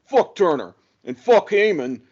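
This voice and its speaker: Man acting an indignant, mellow-toned wrestler. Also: high-pitched, very animated, measured pace, lo-fi sound, heavily acted. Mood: indignant, lewd. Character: indignant, foul-mouthed, loyal pro wrestler man. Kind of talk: comedic audio play acting.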